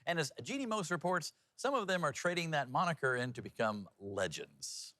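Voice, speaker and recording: A male local news anchor with a bright, forward voice. His delivery swings up and down in a lively way as he narrates upbeat features with folksy, playful wordplay. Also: fairly high pitch, moderate pace, dry recording, studio quality.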